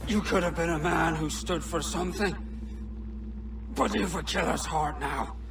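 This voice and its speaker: An actor playing a stern, righteous turncoat pirate, bitter and declamatory, in a video game cutscene. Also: male voice, on the high side, normal speed, vocal fry. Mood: reproachful. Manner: reproachful, declamatory. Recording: distant mic, noisy ambience.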